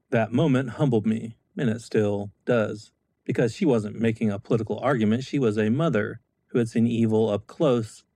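A middle-aged American man, a former Marine and legislator, giving a measured, solemn scripted speech. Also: lowish voice, dark tone, dry recording. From United States.

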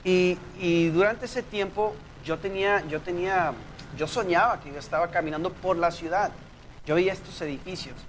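A man's voice: sits high, normal speed. Hesitant man from South Texas, noisy background. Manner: hesitant, searching for words, many fillers. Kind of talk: casual interview storytelling.

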